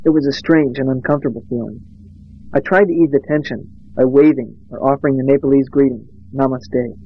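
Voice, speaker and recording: Man, documentary narration, measured and plain, mid-pitched, dull lo-fi audio. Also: fairly even intonation. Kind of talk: scripted narration. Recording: dull lo-fi recording.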